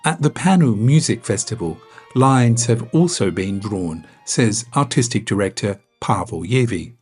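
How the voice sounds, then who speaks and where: Husky-voiced male news reporter. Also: lowish voice, normal speed, soft background music. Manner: steady, scripted delivery. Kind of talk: scripted news narration.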